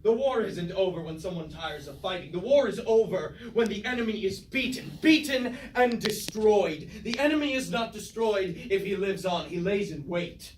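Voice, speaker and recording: A man's voice: sits high, expressive, normal speed, few pauses. A man acting a bitter, war-hungry robot warrior villain in a staged tragedy, declaiming in archaic theatrical lines. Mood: scornful, resolute. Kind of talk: staged reading of mock-Greek tragedy. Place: indoor staged reading, mic at a distance. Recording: echoey room, distant mic, faint noise.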